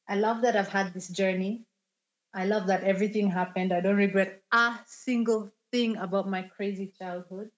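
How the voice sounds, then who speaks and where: A woman's voice: mid-range voice, lo-fi sound. A woman guest in a casual podcast interview, talking animatedly and laughing easily.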